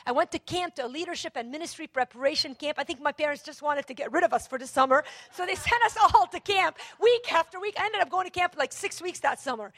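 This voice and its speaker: A woman from Lebanon preaching a sermon, repeating phrases for emphasis and moving between hushed and forceful delivery. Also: high voice, no echo. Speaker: preacher, Bible teacher. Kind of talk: sermon with scripture reading and personal testimony.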